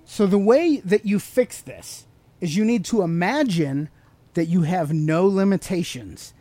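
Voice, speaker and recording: A man's voice: sits high, animated, a little slow, mellow, no echo. A man hosting a podcast, teaching motivational ideas in a conversational, explanatory way and putting questions to the listener. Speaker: podcast host.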